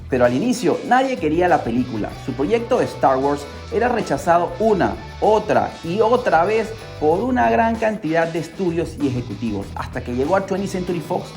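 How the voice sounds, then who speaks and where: Animated podcast storyteller over faint music. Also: mid-range voice. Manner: dramatic, excitable delivery with rhetorical questions and exclamations. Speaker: podcast host. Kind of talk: scripted storytelling narration for a pop culture podcast.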